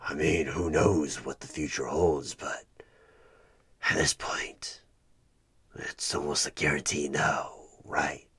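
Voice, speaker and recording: A man playing a sinister evil tempter in a horror ASMR roleplay, coaxing and menacing in a slow, raspy half-whisper as a job interviewer. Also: rumbling low, level tone, sharp tone, full character voice. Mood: sly, taunting. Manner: slow, deliberate, persuasive, repeating words for emphasis.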